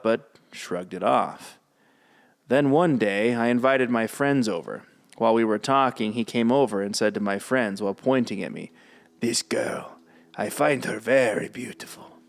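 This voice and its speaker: A man co-hosting a podcast, reading listener stories aloud at an even, middling pitch. He breaks in with dry, sarcastic comments between passages.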